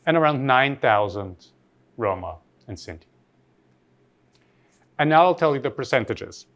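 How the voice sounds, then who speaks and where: A man's voice: mid-range voice, expressive, a little slow, a bit bright. A man from Austria, giving an academic lecture in a thoughtful way, often rephrasing mid-sentence and putting questions to his audience.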